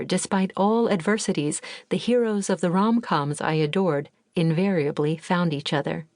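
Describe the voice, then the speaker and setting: Low-voiced woman reading a memoir. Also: a little mellow, no room sound, clean studio sound. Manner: measured, reflective first-person reading. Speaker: audiobook narrator. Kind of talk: scripted memoir narration.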